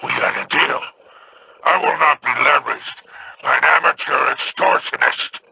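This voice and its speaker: A man voicing a greedy, sly alien crime boss in a video game, speaking in a haughty, scheming tone with a gravelly growl. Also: fairly high pitch, moderate pace, bright, forceful tone, full character voice, poor audio. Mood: irritated.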